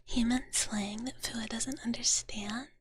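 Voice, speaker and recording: A woman whispering in a breathy, soft voice close to the microphone, chatting playfully and cutely with viewers on a live ASMR stream. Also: fairly high pitch, fairly even intonation, a little slow, a little hiss or hum. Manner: playful, cutesy big-sister persona speaking of herself in third person.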